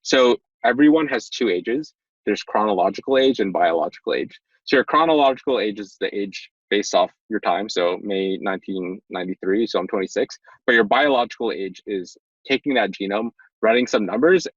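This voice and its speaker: A man in his twenties, a podcast guest, explaining things in a relaxed, rambling way with many fillers. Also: fairly low pitch, dry recording, muffled recording. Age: 20s. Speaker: guest, startup tech executive. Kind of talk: casual interview chat.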